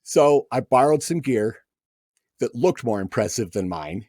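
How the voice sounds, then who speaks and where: A man's voice: mid-range voice, a little slow, no echo, clean studio sound. A man telling stories as a guest musician on a podcast, acting out the people he quotes. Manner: animated anecdote teller, acts out quoted voices. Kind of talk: casual storytelling.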